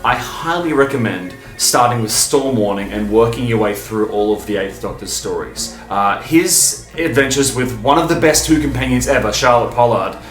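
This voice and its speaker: A man hosting a fan video, talking in an enthusiastic, informal, emphatic way, stopping and starting with pauses for breath. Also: mid-range voice, expressive, reverberant, faint music behind, low-quality recording. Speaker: video host and fan reviewer.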